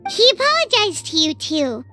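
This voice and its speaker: A woman voicing a small, childlike fairy companion in an anime game, cutesy and chatty with a squeaky voice. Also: big pitch swings, normal speed, pushed and bright, exaggerated voice. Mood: curious. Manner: speaks of herself in the third person, cutesy. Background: music.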